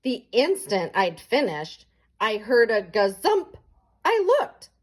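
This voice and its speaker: A woman reading a children's storybook aloud in an expressive, dramatic and deliberate way. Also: mid-range voice.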